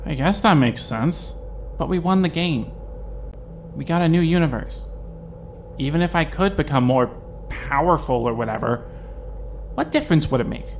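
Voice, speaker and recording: A mild, weary young man, voiced by a man, hesitant and dryly deadpan. His voice sits a little high, and a faint background hiss runs under it. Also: moderate pace, long pauses. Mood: glum. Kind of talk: amateur audio drama fandub.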